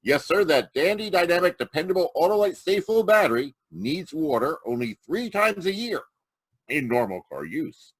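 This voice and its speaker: Animated, husky old-time radio pitchman as acted. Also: moderate pace, no room sound. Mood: eager, selling. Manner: emphatic salesman's patter. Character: eager 1940s male radio pitchman, folksy and punchy. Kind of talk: 1940s radio commercial.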